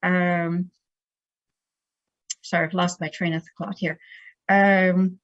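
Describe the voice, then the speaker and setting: Woman, researcher in academic Q&A. Slightly low voice, hesitant, self-correcting delivery; close, dull audio. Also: level tone, takes it slowly, dry recording. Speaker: guest speaker, researcher answering questions. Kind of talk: academic question-and-answer, unscripted.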